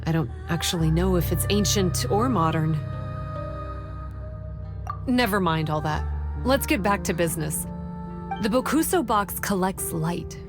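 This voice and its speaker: A secretive young priestess in an anime game. Her voice sits fairly low, and she speaks formally and with measured, earnest composure, instructing like someone in charge, with music clearly behind her. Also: animated. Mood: instructive. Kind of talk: anime game dubbing, expository dialogue. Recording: clean voice under game music.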